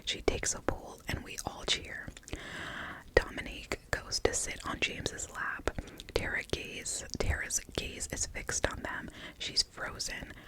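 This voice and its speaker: A woman telling a personal story in a soft, steady whisper. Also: measured pace, rough recording.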